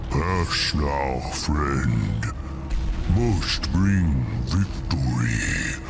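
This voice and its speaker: A man voicing an ancient, solemn monstrous being in a video game, gravelly, grand and portentous. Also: very deep voice, sing-song, very slow, full character voice. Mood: solemn, resolute. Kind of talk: video game character acting. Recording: music behind the voice.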